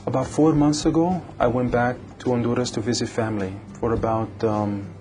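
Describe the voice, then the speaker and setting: A man's voice: mid-range voice, fairly even intonation, a little fast, soft background music, lightly acted. The courteous middle-aged man an actor sitting opposite an official in an instructional film, answering interview questions briefly and carefully. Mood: cooperative, careful. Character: polite, cooperative middle-aged male citizenship applicant. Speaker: actor playing a citizenship applicant. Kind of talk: scripted educational dramatization.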